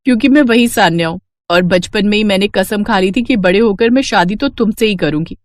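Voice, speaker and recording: A woman's voice: medium pitch, fairly quick, no echo, studio quality, close to an everyday voice. A woman playing a determined, ambitious young woman from a poor family in a cartoon love story, bold and insistent. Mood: earnest, determined.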